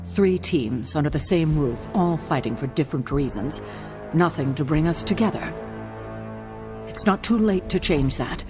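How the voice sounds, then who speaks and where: Woman leader, earnest, troubled, deliberate, game cutscene, faint music underneath. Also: deep voice, lively intonation. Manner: serious, deliberate. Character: troubled woman leader of a superhero team, self-doubting, earnest. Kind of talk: video game cutscene acting.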